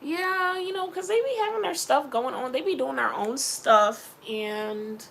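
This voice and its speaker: A woman's voice: sits high, measured pace, gravelly, fairly bright tone, slight background noise. A young woman from Philadelphia with a Philly accent, talking casually and rambling in a personal vlog, often laughing and mentioning her faith. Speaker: vlogger.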